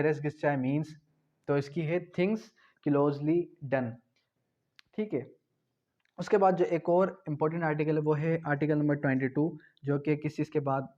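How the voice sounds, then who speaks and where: A man from Pakistan giving an exam-prep law lecture, asking questions and then answering them, spelling out key terms slowly. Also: fairly high pitch, darkish tone, highs cut off. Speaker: law tutor.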